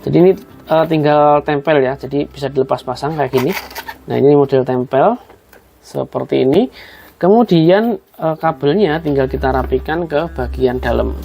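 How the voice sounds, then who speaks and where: A man's voice: a little high, normal speed, creaky, mellow, a little hiss or hum. A man explains a hands-on job step by step in a casual, conversational way, addressing viewers as friends. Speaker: host of a tutorial video.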